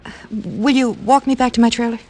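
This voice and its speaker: An actress playing a cautious, alluring carnival dancer in an old radio drama, soft and hesitant, on a noisy vintage recording. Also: female voice, a little high, fairly even intonation, brisk, close to an everyday voice. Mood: shaken. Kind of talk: 1950s radio drama acting.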